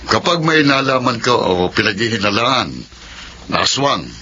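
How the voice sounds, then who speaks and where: A man's voice: mid-range voice, animated, normal speed, pushed and bright. A man playing a stern, determined village captain in a Filipino horror radio drama, authoritative and formal. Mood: stern, urgent. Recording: dull lo-fi radio with noise.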